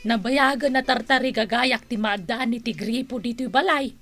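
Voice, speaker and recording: An older mother in a radio drama. Her voice is high and bright. She grumbles and scolds with a resigned air. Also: moderate pace, soft background music. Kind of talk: Ilocano radio drama acting.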